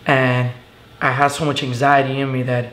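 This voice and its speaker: A young man from Venezuela, a guest telling his story in a casual interview, earnest and halting with many fillers, sitting on a living room couch. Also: medium pitch, level tone, a bit bright. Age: young adult. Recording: echoey room with faint hiss.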